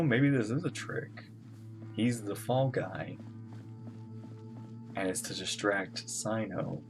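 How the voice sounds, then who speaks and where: Very low-voiced woman streaming a game. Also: creaky. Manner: musing aloud, informal. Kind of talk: casual live playthrough commentary.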